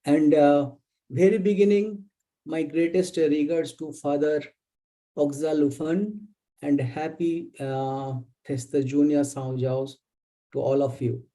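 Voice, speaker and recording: A man with an Indian English accent presents as a guest professor in an academic webinar, choosing his phrases carefully and haltingly. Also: fairly high pitch, steady tone, mellow, poor audio. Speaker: visiting professor, guest lecturer.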